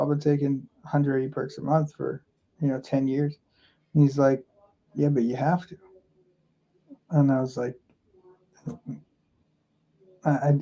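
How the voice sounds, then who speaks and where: Mellow-voiced man rambling through a story. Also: medium pitch, lo-fi sound. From Florida. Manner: rambling with many fillers and restarts. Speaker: podcast guest. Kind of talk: candid casual storytelling.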